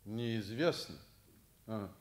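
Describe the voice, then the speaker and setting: A man's voice: fairly low pitch, expressive, drawn-out words. A middle-aged school principal in a Soviet radio drama. He is stern and wounded, moralizing in emphatic, reproachful phrases that rise and fall sharply. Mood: indignant. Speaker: stage actor. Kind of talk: Soviet radio play acting.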